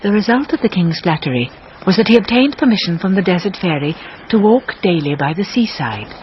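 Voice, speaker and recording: Female storyteller: wry, scripted fairy-tale narration, slightly muffled, soft music behind. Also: mid-range voice, lively intonation, brisk, a little hiss or hum.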